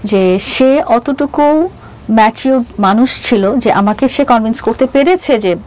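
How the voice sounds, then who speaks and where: A woman's voice: a little high, a little slow, a little mellow, low-quality recording. A middle-aged woman with a Bangladeshi accent telling her personal story as an interview guest, mixing in English phrases and often restarting as she hesitates. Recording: noticeable background noise.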